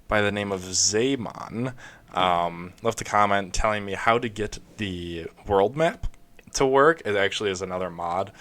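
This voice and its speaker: A man's voice: low-pitched, a bit bright. A young man talking casually over a game he is playing, rambling with many fillers, his throat scratchy from a recent illness and breaking into coughs. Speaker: gaming video creator. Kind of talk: casual let's play commentary.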